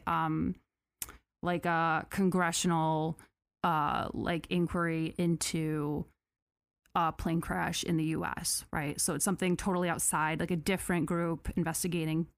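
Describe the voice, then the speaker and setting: A young American woman talks through a story in easygoing podcast chat, leaning on "like" and jokey asides. Her voice sits on the low side. The recording is close and dry, with no room sound. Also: no room sound. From United States. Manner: chatty and animated, many "like" fillers, jokey asides. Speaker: podcast co-host.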